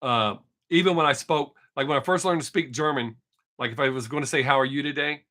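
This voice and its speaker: Texan guy in his 50s rambling on his stream, cusses a lot, bright voice. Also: mid-range voice, fairly quick. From Texas. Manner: rambling, profane, chatty with viewers. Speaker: live streamer. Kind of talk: casual live stream chat. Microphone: webcam mic.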